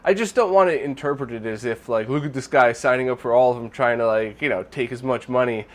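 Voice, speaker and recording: A man's voice: mid-range voice, fast talker. A man hosting a casual viewer Q&A, sounding nasal and congested from a recent illness, rambling with lots of fillers and restarts. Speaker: channel host. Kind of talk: casual Q&A monologue.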